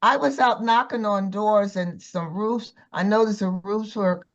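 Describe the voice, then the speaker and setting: A woman's voice: medium pitch, unhurried, dull audio. An older woman in her seventies or beyond, talking casually in a group discussion. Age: 70s or older. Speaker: church community member.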